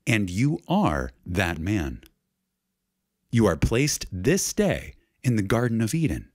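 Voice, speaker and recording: Male lecture reader, reverent and emphatic, lowish pitch with lively swings, deliberate pauses, dry close sound. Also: slightly rough, dry recording. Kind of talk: reverent, dramatic reading of a metaphysical lecture.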